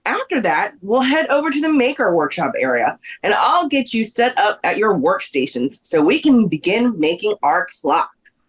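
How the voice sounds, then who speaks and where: A woman's voice: medium pitch, very animated, normal speed, husky. A woman playing a helpful museum workshop instructor, welcoming and explanatory, in a table read.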